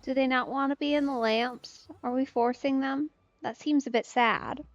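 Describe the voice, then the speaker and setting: A woman's voice: high voice, fairly even intonation, normal speed, no room sound. A woman giving casual let's play commentary, musing to herself in loose asides as she plays. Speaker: streamer, let's play host. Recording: slightly dull, dry close recording.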